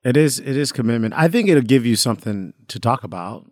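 A man guesting on a comedy podcast, musing in a halting, deadpan way. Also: medium pitch, dry recording, studio quality. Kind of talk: casual comedy podcast chat.

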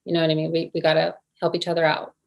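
A woman's voice: deep voice, completely flat delivery, rapid-fire, gravelly. A middle-aged woman from New Jersey, an actress answering interview questions in a thoughtful, hesitant way with many fillers and restarts. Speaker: actress, guest.

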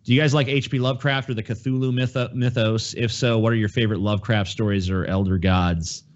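A man's voice: fairly low pitch, steady tone, brisk, dull audio. A man in a casual podcast chat as a host, talking bluntly and swearing freely. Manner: profane, blunt.

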